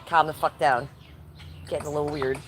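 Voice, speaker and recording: A woman's voice: sits low, steady tone, fairly quick, a crowd in the background. A woman with a summer cold live streaming outdoors, answering her chat by name and coughing between remarks. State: congested with a cold. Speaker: livestreamer. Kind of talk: casual live stream chat reading comments.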